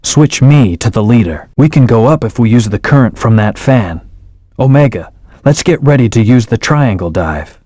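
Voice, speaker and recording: An actor voicing an aloof male hedgehog hero in a video game, giving calm, terse commands and hints. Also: medium pitch, moderate pace, no echo, studio quality, close to an everyday voice. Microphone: studio microphone.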